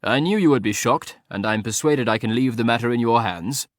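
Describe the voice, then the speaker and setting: A man reading a lazy, ironic aristocratic gentleman who drawls his words dryly, in an audiobook. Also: mid-range voice, fairly quick, no echo, studio quality, lightly acted. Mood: drawling.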